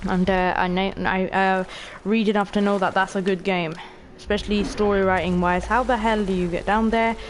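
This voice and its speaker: A woman in her late teens with a stuffy, sniffly voice chats through a game stream. She is excitable and offhand, swearing casually and talking straight to her viewers at an ordinary pitch, with a faint hiss behind. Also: moderate pace, hardly any pauses. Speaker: gaming streamer. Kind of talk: casual let's-play commentary.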